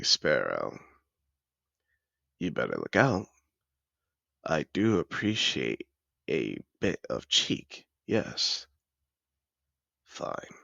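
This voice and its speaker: A suave, possessive dragon in human disguise, played by a man, speaks in a deep, heavily gravelled voice. He is courtly and seductive, lingering slowly over his words like a collector over treasure in a fantasy audio roleplay. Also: bright, forceful tone, no room sound, full character voice. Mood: teasing, amused. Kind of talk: romantic fantasy audio roleplay, addressed to the listener.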